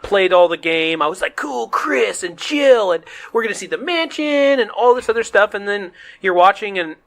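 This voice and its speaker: A man's voice: sits high, animated, moderate pace, gravelly, a bit bright. A man co-hosting a podcast, chatting casually and informally, often dropping "like" into his sentences. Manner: informal, peppers talk with "like". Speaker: podcast co-host. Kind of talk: casual chat about games and movies.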